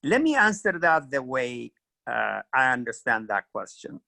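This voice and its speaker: Bright-voiced man with an Ethiopian accent. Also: mid-range voice, very animated, a little slow, vocal fry, no echo, clean studio sound. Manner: earnest, storytelling, frequent "you know" and "kinda". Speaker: career mentor guest. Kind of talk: reflective career interview.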